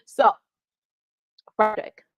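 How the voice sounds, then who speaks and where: A woman from Nigeria, working as an immigration attorney, answers viewer questions in a relaxed live Q&A and keeps checking in with "right?" and "all right?". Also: takes it slowly. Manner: explanatory, frequent "right?" and "all right?" check-ins.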